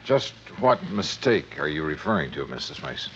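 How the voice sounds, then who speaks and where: A man's voice: extremely low pitch, big pitch swings, moderate pace, slight background noise. An actor playing a dry, worldly male insurance investigator in 1950s radio drama, narrating and questioning in a clipped, wry way. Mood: polite, probing. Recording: dull vintage radio recording, music behind.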